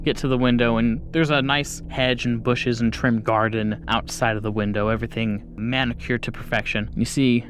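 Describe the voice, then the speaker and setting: A man's voice: medium pitch, steady tone, moderate pace, hardly any pauses, fairly bright tone, slight background noise. A man narrating a tabletop game as game master, descriptive and informal.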